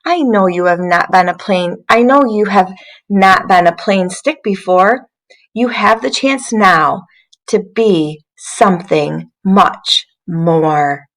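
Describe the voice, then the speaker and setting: Woman as an upbeat, encouraging storybook twig, unhurried. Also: mid-range voice, rough recording. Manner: urging, upbeat. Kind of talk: children's read-aloud character voice.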